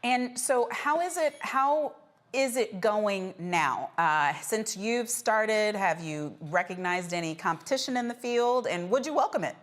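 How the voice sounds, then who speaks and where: A woman's voice: mid-range voice, expressive, unhurried. A woman presenting and interviewing guests as the host of a news program.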